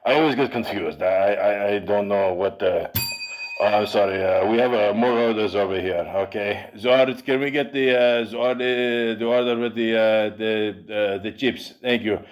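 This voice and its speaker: A man doing a deep-voiced, flustered diner worker with a thick Greek accent, who keeps tripping over his words and stuffing in "uh". The sound is muffled and lo-fi, like a call piped in. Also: steady tone, moderate pace, close to an everyday voice. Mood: flustered, rambling. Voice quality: hesitant, stumbling, full of "uh". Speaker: caller.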